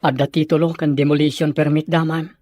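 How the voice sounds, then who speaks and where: A man's voice: fairly high pitch, level tone, brisk, a little mellow, no room sound, close to an everyday voice. An actor playing an earnest, defiant young village fisherman, plain-spoken, in Filipino radio drama style. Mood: aggrieved.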